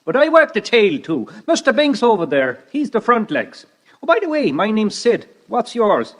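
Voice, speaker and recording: An actor in an audiobook drama playing a chatty, eager comic stage performer, proud and chirpy. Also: male voice, sits high, wildly swooping pitch, normal speed, a bit bright, heavily acted.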